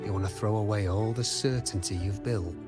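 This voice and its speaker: Over clear background music, a male actor plays a sage game weaponsmith with a low voice. He speaks calmly and solemnly, weighing each phrase like dramatic, philosophical counsel. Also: brisk, natural voice. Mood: grave, testing. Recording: music behind the voice.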